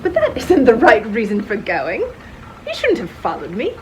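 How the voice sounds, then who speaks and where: Woman acting shy proper spinster librarian, high voice, restrained manner, novel dramatization, faint background noise. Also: huge pitch swings, normal speed. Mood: mildly reproving.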